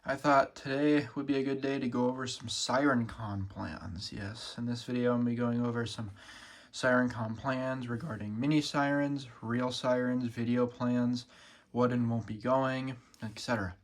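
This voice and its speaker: A man's voice: medium pitch, fairly even intonation, moderate pace. A young man with a sore throat, talking casually through a show-and-tell vlog with plenty of ums and uhs. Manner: rambling, many ums and uhs, loose and casual. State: sore throat, feeling sick. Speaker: siren collector vlogger.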